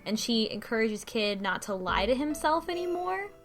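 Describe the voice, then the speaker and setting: A woman's voice: on the high side, very animated, moderate pace, faint music behind. A woman in casual podcast conversation, recapping a story as a panelist and acting out the lines as she goes.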